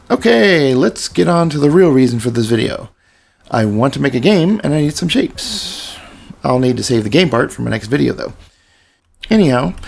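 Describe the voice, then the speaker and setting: A man with a slightly raspy voice narrates a programming tutorial over a screen recording. He talks in a relaxed, explanatory way with casual asides, at a medium pitch, and his voice often drops into a gravelly fry. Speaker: software tutorial presenter.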